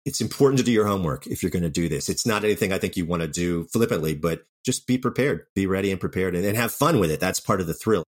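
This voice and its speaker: A man's voice: deep voice, lively intonation, fast talker, hardly any pauses. A man talking casually and enthusiastically as an interview guest, a writer and director who acts out bits of remembered dialogue.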